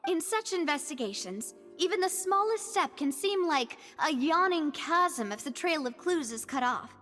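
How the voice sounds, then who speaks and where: A woman's voice: high-pitched, lively intonation, normal speed, a bit bright, in a large hall. A woman playing a confident, courteous young female leader in a video game, speaking in a polite, articulate way. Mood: serious, resolute. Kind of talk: English video game voice acting. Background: music.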